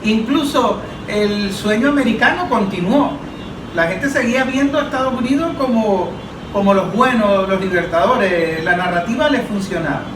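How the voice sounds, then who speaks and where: A man speaking as an analyst in a political roundtable, making his argument deliberately through listed examples. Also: high voice. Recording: echoey, distant mic, background noise.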